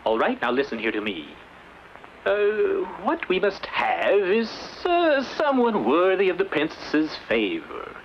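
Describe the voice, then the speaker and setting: A man's voice: high-pitched, wildly swooping pitch, a little slow, muffled recording, slight background noise, heavily acted. An actor playing a blustering, greedy, evasive old king in a theatrical fairy-tale play, flustered and pompous. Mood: stalling, pompous.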